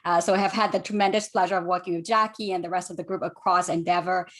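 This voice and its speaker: A woman's voice: on the low side, steady tone, fast, a bit bright. Fluent upbeat woman investor on a panel. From Malaysia. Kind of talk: panel introduction.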